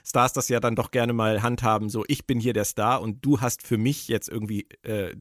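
A man with a lilt hosts a podcast in an easygoing, enthusiastic way. He speaks at a middle pitch, recorded close and dry with no room sound. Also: steady tone, normal speed, no room sound. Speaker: podcast host. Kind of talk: casual podcast chat.

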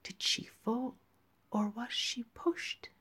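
A woman's voice: on the high side, lively intonation, slow, pushed and bright, voice pushed up, heavily acted. Woman voicing a sly, whispering flirtatious barfly man. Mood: sly, teasing. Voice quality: breathy, whispered.

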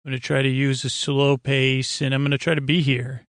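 A man with a gravelly, creaky voice, recorded dry and close. He hosts a bedtime podcast, wandering through a halting monologue and often tripping over his words. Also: mid-range voice, little pitch movement, dry recording. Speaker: podcast host.

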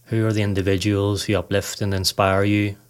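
A man narrating a scripted guided meditation in a calm, measured, instructional way. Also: fairly low pitch. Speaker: podcast host, meditation guide.

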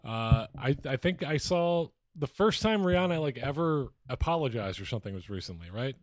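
A man's voice: mid-range voice, expressive, normal speed, no room sound. Man hosting a casual podcast, dry close sound. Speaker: podcast host. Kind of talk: casual comedic sports chat.

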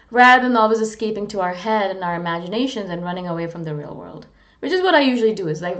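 A woman from India, talking in a candid, earnest, self-deprecating way in a casual, reflective monologue as a vlogger. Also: medium pitch. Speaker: vlogger on personality types.

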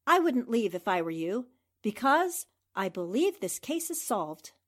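A woman's voice: fairly high pitch, very animated, unhurried. A woman playing a confident, probing newspaper reporter in a 1940s radio drama, incisive and assured.

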